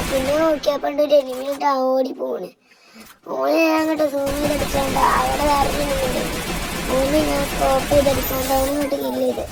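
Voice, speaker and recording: A very high, youthful-sounding gamer voice with a northern Kerala Malabar accent, flat in intonation. The delivery is casual play-by-play, halting and repetitive, over a clearly noisy recording. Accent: northern Kerala Malabar Malayalam. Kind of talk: casual gameplay commentary, recounting moves.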